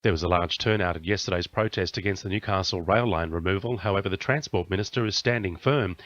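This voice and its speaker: A man with an Australian accent reads radio news from a script. His voice sits on the low side, and the audio is a little dull, with the top end trimmed. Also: fast talker, fairly bright tone. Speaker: news reader.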